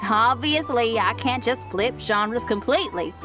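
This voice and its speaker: Game role: breezy rebel rock girl, energetic slang, bouncy high delivery. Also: measured pace. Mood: upbeat. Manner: slangy, energetic, laid-back talk. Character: upbeat young woman rock musician, rebellious and breezy. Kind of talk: video game character dialogue. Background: game music.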